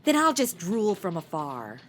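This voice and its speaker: A woman's voice: lowish voice, unhurried, slight background noise, full character voice. A woman playing a brash, irreverent, flirty modern sidekick in a comedy audio drama, flippant and full of wisecracks. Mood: flirty, smitten. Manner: flippant, sassy, deadpan wisecracks.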